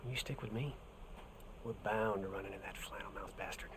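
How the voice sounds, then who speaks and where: An actor playing a roguish, teasing adventurer in a video game, with wry delivery and folksy old-fashioned slang. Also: male voice, on the low side, steady tone, brisk, noisy background, close to an everyday voice. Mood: wry, purposeful. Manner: wry, playful. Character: roguish, teasing adventurer man with frontier swagger. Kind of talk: video game cutscene acting.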